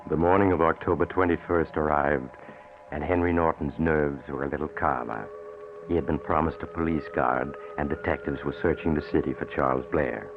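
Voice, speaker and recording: An actor as the solemn storyteller of a suspense tale, giving scripted narration in an old radio thriller style. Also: male voice, a bass voice, fairly even intonation, normal speed. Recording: tinny vintage radio, music behind.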